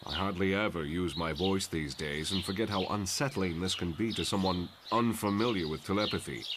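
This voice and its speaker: A man playing a calm, authoritative headmaster, speaking formally and reassuringly in a comic drama. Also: low-pitched, fairly quick, lightly acted. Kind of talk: motion comic voice acting. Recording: loud noise behind the voice.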